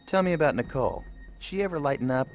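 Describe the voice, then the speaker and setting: An actor playing a wry, exasperated adventurer in a video game cutscene, with a dry, sarcastic manner. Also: male voice, medium pitch, sing-song, fast, faint music behind.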